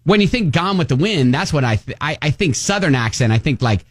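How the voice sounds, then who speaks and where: A man from Ohio with a slightly nasal voice sits at the mic as a radio co-host and chats casually. He talks in an animated way, his pitch sitting a bit high and swinging widely. The studio sound is close and dry. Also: dry recording. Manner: animated storytelling, quick restarts. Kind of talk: casual on-air chat.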